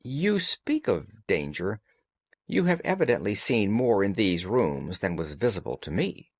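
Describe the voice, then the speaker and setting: Man reading as earnest, puzzled gentleman sidekick. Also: on the low side, big pitch swings, a little slow, dry recording, clean studio sound, full character voice. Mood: steady, willing. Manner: plain-spoken, earnest. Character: loyal, puzzled gentleman companion of a detective. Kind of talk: audiobook character dialogue.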